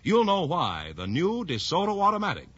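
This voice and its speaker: A man's voice: sits high, wildly swooping pitch, normal speed, a bit bright, breathy edge. A man announcing a scripted 1950s radio commercial with a polished salesman's delivery, on an old recording. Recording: dull vintage radio recording.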